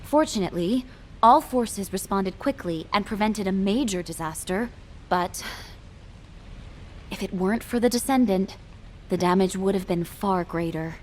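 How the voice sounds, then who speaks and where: An actress playing a composed military officer who speaks formally and with measure, as in a video game briefing. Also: female voice, medium pitch, moderate pace, a little mellow, slight background noise, natural voice.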